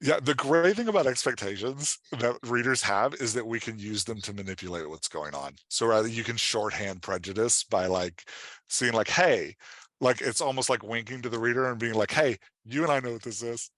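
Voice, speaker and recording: A man's voice: medium pitch, moderate pace, a bit bright, no room sound. A man working as a writing coach, answering questions in an informal, emphatic, conversational way with casual examples.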